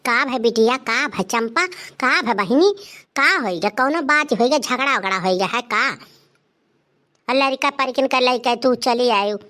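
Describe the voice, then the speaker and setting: A woman's voice: fairly high pitch, measured pace, pushed and bright. A woman voicing a protective, scolding Awadhi-speaking village mother in a cartoon comedy, with a blunt, grumbling way of talking. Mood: concerned.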